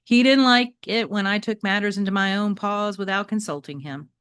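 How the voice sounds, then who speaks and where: A woman's voice: mid-range voice, normal speed, clear, resonant tone, dry recording, studio quality. A woman teacher reading a children's novel aloud expressively, speaking directly to her young listeners. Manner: expressive, addresses listeners with check-in questions.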